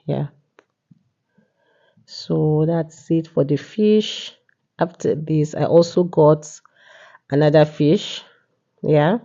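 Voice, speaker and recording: A woman's voice: sits low, takes it slowly, vocal fry, pauses often, mellow, lo-fi sound. A woman vlogger with a cold and a congested voice, chatting casually and often saying "yeah" and "you know". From Nigeria. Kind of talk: casual market vlog narration.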